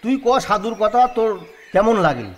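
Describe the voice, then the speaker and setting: A man's voice: sits high, sing-song, normal speed. A man playing a sly teasing village friend. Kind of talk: rural audio drama acting.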